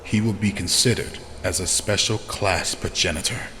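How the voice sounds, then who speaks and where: A man playing a solemn, formal vampire elder with measured delivery in a fantasy audio drama. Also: sits low, a bit bright, soft background music. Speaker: voice actor. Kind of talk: scripted fantasy character dialogue. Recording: long reverb, faint noise.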